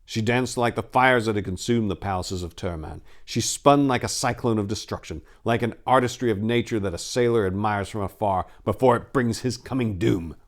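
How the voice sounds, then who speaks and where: A man reads a fantasy tale aloud in a fairly low voice. He delivers it as a dramatic reading, with a theatrical storyteller's manner. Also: normal speed, few pauses. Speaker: story narrator.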